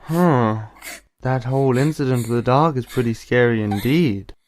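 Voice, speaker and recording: A man playing a caring, bookish older brother in an audio drama, explaining things in a measured, slightly formal way. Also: mid-range voice, slight background noise, close to an everyday voice. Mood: gentle, sympathetic. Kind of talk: anime-style audio drama acting.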